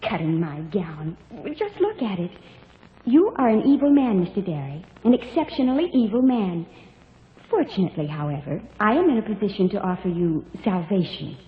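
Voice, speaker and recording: A woman's voice: medium pitch, very animated, moderate pace, close to an everyday voice. A woman playing a prim, righteous angel in an old radio play, speaking in haughty, formal, preachy diction. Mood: indignant, prim. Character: prim, righteous female angel stepped out of a painting. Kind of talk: 1940s radio acting. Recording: muffled old radio recording, echoey hall.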